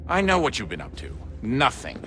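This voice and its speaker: A man's voice: mid-range voice, huge pitch swings, brisk, sharp tone. An actor playing a middle-aged father in a video game, stern and demanding, talking curtly to his son. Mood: cold scolding. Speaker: father of the lead character. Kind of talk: video game cutscene dialogue. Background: music.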